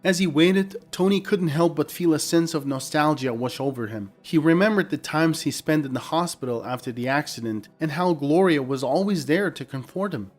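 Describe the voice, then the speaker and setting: A man's voice: fairly high pitch, moderate pace, hardly any pauses, soft background music. A man reading a scripted dramatic story as narrator.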